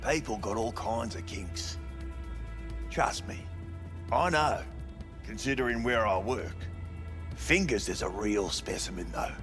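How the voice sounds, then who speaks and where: A man's voice: lowish voice, unhurried, pauses often, over music, lightly acted. An actor playing a sleazy, cynical male brothel manager in a cyberpunk video game, talking in crude, dismissive slang. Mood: wry, defensive. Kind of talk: video game dialogue acting.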